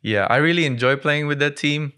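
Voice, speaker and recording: Clear-voiced, laid-back man in his twenties. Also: fairly high pitch, fairly quick, sharp tone. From Hong Kong. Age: 20s. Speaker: basketball player, podcast guest. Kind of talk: casual chat. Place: small dim podcast studio indoors. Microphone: close studio mic on boom arm.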